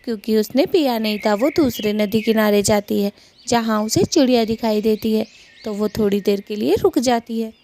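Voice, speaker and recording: A woman's voice: fairly high pitch, normal speed, dark tone, slight background noise. A woman narrating a Hindi cartoon story as its storyteller.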